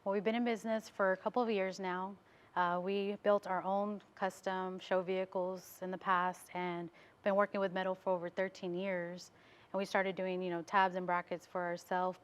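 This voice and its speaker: Slightly dull audio carries an American woman with a lowish, very even voice. She explains her work casually, as a guest in a studio interview. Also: normal speed. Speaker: interview guest, business owner.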